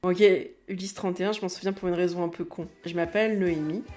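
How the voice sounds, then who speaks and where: A woman's voice: fairly low pitch, fairly even intonation, brisk, darkish tone, soft background music. A woman in her thirties with an accent from France, hosting a nostalgic podcast monologue in a wry, confiding, conversational way. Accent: French from France. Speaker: podcast host.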